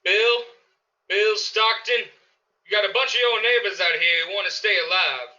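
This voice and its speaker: A man's voice: high voice, moderate pace, bright, forceful tone. A man playing a hot-headed, confrontational neighbor in a radio play, speaking aggressively. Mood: threatening. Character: belligerent, hot-headed, bigoted male neighbor. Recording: dull lo-fi audio.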